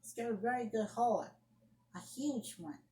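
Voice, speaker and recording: A woman's voice: on the high side, moderate pace, dark tone, natural voice. A woman playing an indignant young woman scolding someone over the phone in an amateur solo drama, emphatic and repeating her phrases. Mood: emphatic, reproachful. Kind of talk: amateur solo acted monologue, one side of a phone argument. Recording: roomy echoing home recording.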